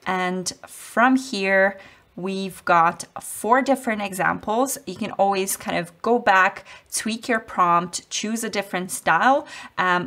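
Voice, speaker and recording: A woman's voice: on the low side, a bit bright. Upbeat woman, Polish native, tutorial host. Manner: upbeat, friendly, walks through steps. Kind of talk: screen-recorded software tutorial. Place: home office, indoors.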